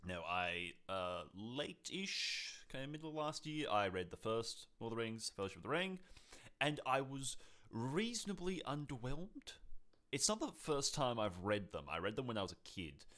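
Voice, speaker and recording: A man's voice: mid-range voice, sing-song, normal speed, fairly bright tone. A man co-hosting a podcast in a casual, joking, bantering way. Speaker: podcast co-host. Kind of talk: casual chat on a livestream podcast.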